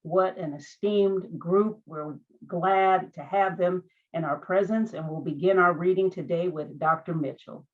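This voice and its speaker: A woman's voice: fairly low pitch, a little slow, hardly any pauses. Phone-like woman host introducing readers. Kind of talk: formal scripted introduction. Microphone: online call connection. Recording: tinny phone-like online call audio.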